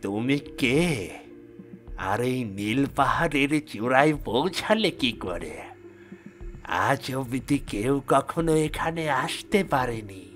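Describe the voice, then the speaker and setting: A man voicing a mysterious dwarf guardian in a fairy-tale cartoon, measured and deliberate. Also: mid-range voice, lively intonation, soft background music. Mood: curious, guarded. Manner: measured, deliberate riddle-posing. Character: mysterious male dwarf guarding a magic sword, testing riddler.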